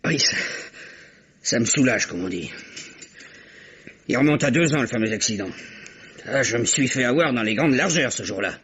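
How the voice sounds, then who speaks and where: A man's voice: mid-range voice, expressive, normal speed, pauses often, a bit bright, slight background noise, natural voice. An actor playing a surly, crude-talking taxi driver in his thirties in a vintage French radio drama. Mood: bitter. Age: 30s. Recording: dull old radio archive recording.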